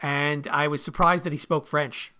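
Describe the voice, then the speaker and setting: A man's voice: a little high, flat delivery, normal speed, bright, forceful tone, smooth. A man co-hosting a sports podcast in casual conversation over a video call.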